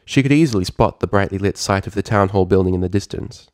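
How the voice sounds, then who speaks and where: A man reading a story aloud as narrator, with a dramatic and expressive delivery. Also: low-pitched, fast, dry recording, studio quality. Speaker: fan fiction narrator.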